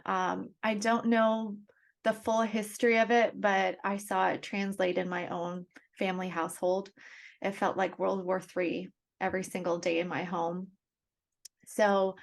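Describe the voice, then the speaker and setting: A young adult woman, born in Russia and raised in Canada, telling her life story as a podcast guest in a soft, thoughtful way with pauses. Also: medium pitch. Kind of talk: reflective personal storytelling.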